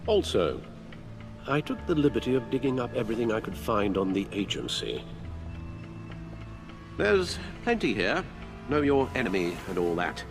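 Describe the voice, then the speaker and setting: An actor playing a loyal older butler in a video game, formal and dryly witty, a fretful caretaker. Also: male voice, lowish voice, sing-song, moderate pace, pauses often. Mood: dry, courteous. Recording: music behind the voice.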